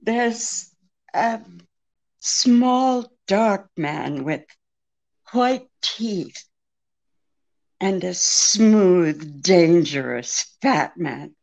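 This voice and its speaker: A woman playing a frightened, evasive femme fatale in a 1940s-style radio detective drama, speaking haltingly. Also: lowish voice, animated, vocal fry, a little mellow, heavily acted. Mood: afraid, faltering. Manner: halting, hesitant.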